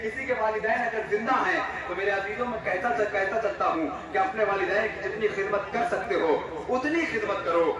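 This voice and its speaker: A young man preaching an Eid sermon in an impassioned, shouted style with rhythmic repetition. He is heard from a distance at a large prayer gathering. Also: high voice, fairly quick. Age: young adult. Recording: echoey hall, distant mic, loud crowd. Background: loud crowd.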